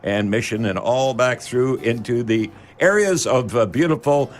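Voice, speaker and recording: Guy hosting a live radio call-in, folksy and rambly, mid pitched voice, pauses and hesitates a lot. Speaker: radio show host. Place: radio studio.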